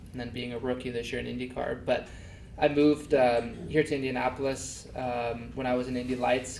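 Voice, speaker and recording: A young man from Denmark, a race car driver being interviewed, talking softly with many fillers. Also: mid-range voice, vocal fry, distant mic, slight background noise. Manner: soft-spoken, halting with many fillers. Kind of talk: casual interview. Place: pub. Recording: echoey pub, voice from off-mic.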